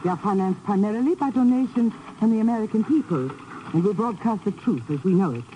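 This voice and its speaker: A woman's voice: medium pitch, animated, quick pace, dark tone, slight background noise, lightly acted, rough recording. A woman playing a formal, guarded director of an émigré radio station in a 1950s radio drama, speaking precisely. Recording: vintage 1950s radio recording.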